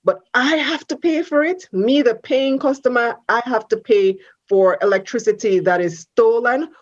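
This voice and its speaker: A woman's voice: a little high, normal speed, muffled recording. A woman hosting a TV business interview, asking direct, pressing questions. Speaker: host.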